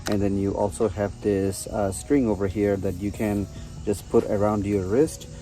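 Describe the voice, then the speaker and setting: A man's voice: fairly low pitch, flat delivery, moderate pace, warm tone, soft background music. A man in his thirties giving a casual product review outdoors, explaining features conversationally and repeating himself. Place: outdoors on a residential front porch.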